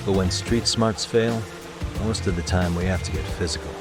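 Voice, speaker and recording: An actor playing a laid-back, wry private detective with understated delivery in a game. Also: male voice, deep voice, normal speed. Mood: wry narration. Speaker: detective narrator and protagonist. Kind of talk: understated game narration and dialogue. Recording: music under the voice.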